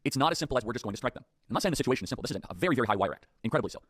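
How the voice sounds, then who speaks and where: A man delivering a fast political talk-show monologue, emphatic and full of rhetorical questions. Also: mid-range voice, animated, fairly bright tone, husky, no echo, clean studio sound.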